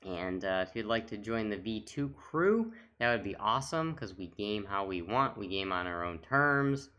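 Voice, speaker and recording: A man's voice: lowish voice, lively intonation, moderate pace, bright, forceful tone. A man giving casual, off-the-cuff commentary as a gaming video host, talking in a rambling, reminiscing way.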